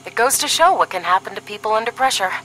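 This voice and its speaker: Woman playing a bright-voiced, sardonic young agent. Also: fairly high pitch, expressive, fast talker, a little hiss or hum, low-quality recording. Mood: serious. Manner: dry, sarcastic, serious. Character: sardonic, duty-minded young female paramilitary agent, weary sister. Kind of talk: scripted audio drama dialogue.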